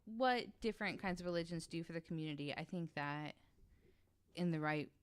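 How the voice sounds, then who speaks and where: A woman's voice: lowish voice, fairly quick, smooth. A woman explaining things casually on a podcast, informal and frank in her delivery. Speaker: podcast panelist.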